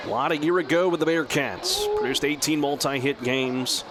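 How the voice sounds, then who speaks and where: Guy doing baseball play by play on radio, voice a bit on the high side, clear outdoor stadium noise behind him. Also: normal speed, crowd noise. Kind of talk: live baseball play-by-play commentary. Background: outdoor stadium ambience.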